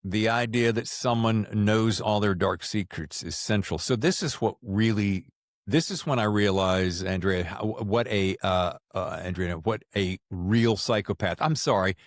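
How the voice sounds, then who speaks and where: A man with a lowish voice hosts a podcast interview in a casual, conversational way. He is jokey and likes to tease, and the sound is dry, with very little room in it. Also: a little slow, dry recording. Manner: jokey, teasing. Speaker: podcast host.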